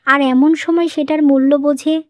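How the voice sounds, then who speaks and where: A woman playing a composed, persuasive young daughter-in-law in a cartoon moral story, explaining things in a measured way. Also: sits high, fairly even intonation, no room sound, studio quality, natural voice. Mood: composed, reproachful.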